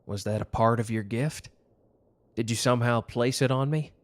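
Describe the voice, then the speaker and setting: A tender young mafia protector, voiced by a man in an intimate ASMR roleplay. He talks softly and close, reassuring and earnest, with a voice of middling pitch. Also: moderate pace, lightly acted.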